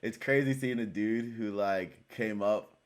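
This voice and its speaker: A man's voice: lowish voice, measured pace. A man hosting a casual livestream interview, talking in a laid-back, slangy way and laughing often. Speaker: interview host.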